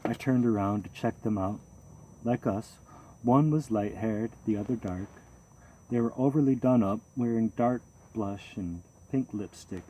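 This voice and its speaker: Low, mellow-voiced man reading a memoir. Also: slight background noise, low-quality recording. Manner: measured, steady storytelling. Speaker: audiobook narrator. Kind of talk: first-person memoir reading.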